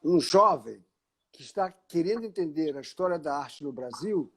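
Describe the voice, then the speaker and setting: A man's voice: a little high, animated, normal speed, fairly bright tone, breathy edge. An older man from Paraíba, an art critic interviewing an artist, speaking expansively and correcting himself as he explains. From Paraíba, Brazil. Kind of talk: conversational interview.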